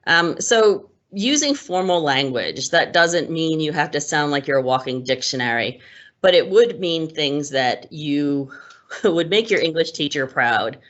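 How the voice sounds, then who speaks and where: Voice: medium pitch, lively intonation, a little slow, a bit bright. A presenter explaining workplace manners in a casual, conversational way, with frequent ums and restarts. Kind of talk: casual instructional talk. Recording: muffled lo-fi recording.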